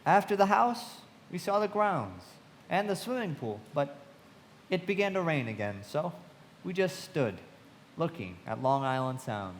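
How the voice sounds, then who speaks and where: A man's voice: a little high, big pitch swings, measured pace. A man acting in a live radio play as a frank, observant young narrator telling his memories in a theatrical way. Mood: reflective, descriptive. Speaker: narrator and actor. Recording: long hall reverb, clear background noise.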